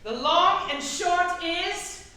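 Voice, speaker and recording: A woman playing a boastful, dazed male weaver in a staged Shakespeare reading, theatrical and giggly, with sighs and pauses. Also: very high voice, heavily acted. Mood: excited, triumphant. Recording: echoey hall, distant mic, faint noise.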